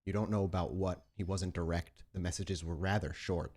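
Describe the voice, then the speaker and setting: A man narrating as game master, setting a cinematic scene in a measured, dramatic manner. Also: sits low, steady tone, darkish tone. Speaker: game master narrating a tabletop roleplaying session.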